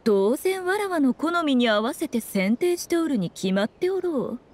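Haughty, sly fox shrine maiden. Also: female voice, high voice, big pitch swings, a little mellow. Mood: playful, teasing. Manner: haughty old-fashioned diction, measured and knowing. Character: sly, regal fox shrine maiden woman, archaic-speaking and teasing. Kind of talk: Japanese anime game voice acting.

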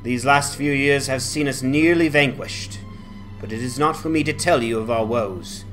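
A man's voice: medium pitch, normal speed, a bit bright, faint music behind, lightly acted, rough recording. A man voicing a welcoming, weary ranger guard with formal, archaic speech in a fantasy game dramatization. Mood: solemn welcome.

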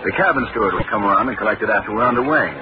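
A man's voice: lowish voice, racing, lightly acted. An actor playing a young newlywed husband who teases and soothes his wife in a 1940s radio drama. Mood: calm. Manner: teasing, soothing. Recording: muffled hissy old radio recording.

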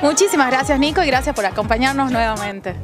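A woman's voice: high-pitched, quick pace. A woman, a company founder, explaining her business proudly in a TV interview into a handheld mic. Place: hotel event hall, indoors. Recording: music bed underneath.

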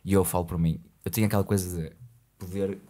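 A man with a European Portuguese accent hosting a podcast, talking frankly and rambling with lots of fillers, in a small studio on a boom-arm mic. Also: level tone, fast talker, mellow. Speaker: podcast host. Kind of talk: casual podcast chat.

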